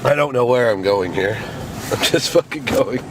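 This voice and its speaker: A man with a cold, stuffed up and hoarse, chatting casually, swearing and laughing while he drives his truck through snow. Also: medium pitch, unhurried. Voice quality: hoarse, scratchy. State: stuffed up with a cold, voice going froggy. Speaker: vlogger. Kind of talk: casual rambling vlog while driving. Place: in a vehicle, driving in snow. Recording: loud vehicle and road noise.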